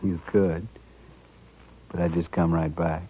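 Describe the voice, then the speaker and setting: An actor playing a quiet, courteous, resigned man bent on killing in a 1950s radio western. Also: low voice, level tone, takes it slowly. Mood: quiet resignation. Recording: dull vintage 1950s radio recording.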